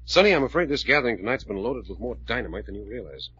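Sharp, commanding radio adventurer. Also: male voice, mid-range voice, big pitch swings, quick pace, husky, exaggerated voice. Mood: grave. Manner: terse, authoritative, probing. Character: Jack, commanding, level-headed male adventurer and investigator. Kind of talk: old-time radio serial acting. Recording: dull, dry old radio recording.

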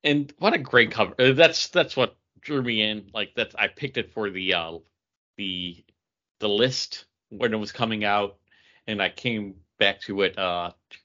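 A man's voice: fairly low pitch, unhurried, bright, forceful tone, highs cut off. A man co-hosting a podcast, chatting casually about comic books in an enthusiastic, rambling way. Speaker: podcast co-host. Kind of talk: casual comic book review chat.

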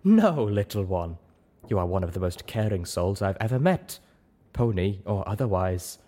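A man voicing a calm, observant female zebra in an audiobook story, speaking frankly in measured, formal phrases. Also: deep voice.

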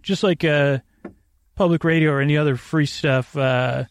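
A man hosting a bedtime podcast in a creaky, lulling voice, rambling and stammering as he wanders through halting, self-interrupted sentences. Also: a little high, steady tone. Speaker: podcast host.

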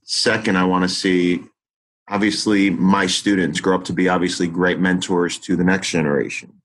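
A man's voice: low voice, little pitch movement, normal speed, a bit bright, a little hiss or hum. A man in his forties, a teacher, talking earnestly and reflectively in an interview, often restarting his sentences. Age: 40s. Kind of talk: interview conversation.

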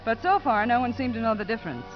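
Actress as a composed, lively-pitched woman official. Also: fast, music in the background, natural voice. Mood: composed narrating. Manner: probing, reproving. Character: composed, pointed female child-welfare official. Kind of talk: 1950s radio drama acting. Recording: dull old radio recording.

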